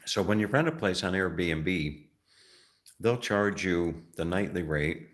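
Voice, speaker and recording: A man from the United States with an extremely low, deep voice, talking loosely to the camera as a travel vlogger. He rambles, halts and restarts, filling gaps with "uh" and "you know". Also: animated, fairly bright tone. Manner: rambling, halting, many fillers and restarts. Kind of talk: casual vlog talk.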